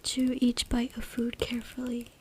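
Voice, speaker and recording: Whispering woman, even-toned ASMR reading. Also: fairly high pitch. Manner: soft, hushed, deliberate whispering with repeated words. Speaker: ASMR creator reading from a book. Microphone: close USB microphone. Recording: noisy close USB mic with clicking. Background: noise, tapping and clicking sounds.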